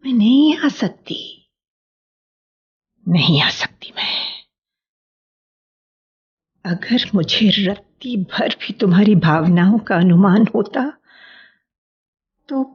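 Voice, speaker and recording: An actress playing a troubled, guilt-torn woman in a radio play, halting and repeating phrases as she resists forbidden love. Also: mid-range voice, animated, breathy edge, lo-fi sound, heavily acted. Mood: torn pleading. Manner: halting, repeats phrases, drops to anguished whispers. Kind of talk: Hindi radio play acting. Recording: music under a radio play.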